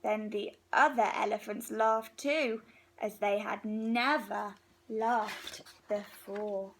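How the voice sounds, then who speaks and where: A woman reading a picture book aloud to young children in an expressive, unhurried way, pausing between phrases. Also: medium pitch, a little hiss or hum, rough recording.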